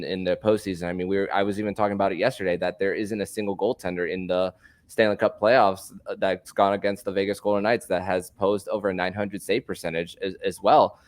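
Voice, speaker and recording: A man hosting a casual hockey podcast, who talks haltingly and repeats words as he forms his thoughts. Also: lowish voice, dry recording. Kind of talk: casual sports podcast chat.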